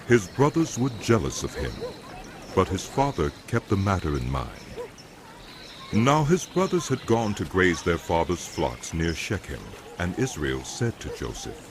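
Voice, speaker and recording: A man giving dramatic, scripted Bible narration in a solemn, deliberate manner. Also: low-pitched, big pitch swings, noisy background. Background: music.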